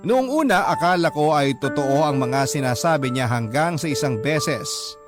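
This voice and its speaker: A man's voice: a little high, expressive, normal speed. Male radio storyteller over music. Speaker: radio drama host reading a listener's letter. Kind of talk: first-person confessional story narration.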